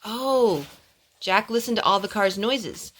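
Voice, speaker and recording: A woman's voice: medium pitch, lively intonation, slow pace, a bit bright. A woman reading a picture book aloud to her young children, with singsong, coaxing asides to them. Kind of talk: storybook read-aloud with chatty asides to children.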